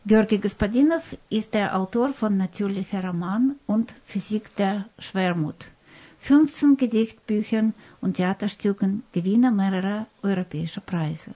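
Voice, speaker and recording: Female radio co-host and interviewer, medium pitch, scripted presenting with hesitant moments. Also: moderate pace, warm tone, poor audio.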